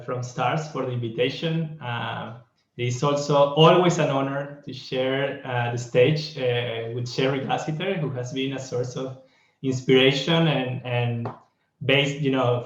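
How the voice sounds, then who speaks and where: A man from Venezuela giving a reflective online conference talk over a video call, pausing often with fillers. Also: mid-range voice, echoey room, dull audio. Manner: reflective, hesitant with many fillers. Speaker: guest speaker.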